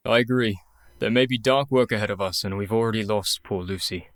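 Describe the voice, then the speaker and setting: A man's voice: fairly low pitch, a little fast, no echo, clean studio sound, close to an everyday voice. Weary, skeptical doctor in mourning acted by a man. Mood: firm. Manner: formal, weary, stubborn. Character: grieving, skeptical male doctor running a sanitarium. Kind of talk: radio play acting.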